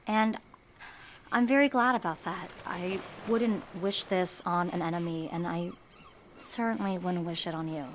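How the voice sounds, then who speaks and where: A woman voicing a guarded young woman in an audio drama, quiet and wry about her grief. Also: fairly low pitch, a little slow, slight background noise, close to an everyday voice. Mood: wistful. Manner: dry, wry, subdued.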